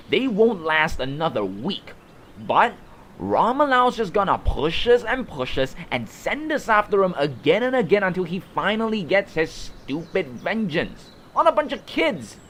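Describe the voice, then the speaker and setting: A man's voice: high voice, a bit bright, slight background noise, heavily acted. A man playing a resentful, whiny fantasy creature in an audio drama, griping and blustering as a would-be mutineer.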